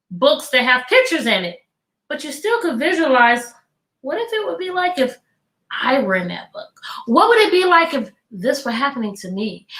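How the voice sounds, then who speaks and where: Bright, high-voiced woman teaching children. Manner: animated, encouraging, talking directly to children with many questions. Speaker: teacher hosting a children's read-aloud. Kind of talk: live educational talk to children online. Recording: echoey room sound.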